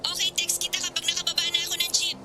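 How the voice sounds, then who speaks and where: A woman's voice: extremely high pitch, completely flat delivery, rapid-fire, over music. A woman playing an apologetic young classmate who is running late in a radio drama. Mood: apologetic, hurried. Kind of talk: Tagalog radio drama acting.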